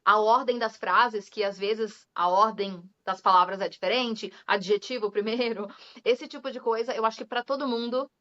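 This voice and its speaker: Brazilian woman, young adult, English teacher, chatty guest, slightly high voice, dull recording. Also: fairly even intonation, moderate pace, fairly bright tone. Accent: Brazilian Portuguese. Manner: chatty, laughs often, drops English words into her talk. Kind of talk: casual interview chat. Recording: dull lo-fi sound.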